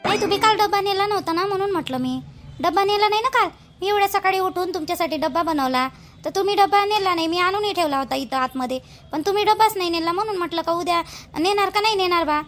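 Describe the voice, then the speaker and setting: A woman's voice: very high voice, fast, a bit bright, faint music behind. A woman with a Vidarbha Marathi accent playing a dutiful young newlywed daughter-in-law in a village cartoon, chatty, rustic and deferential. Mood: mildly aggrieved.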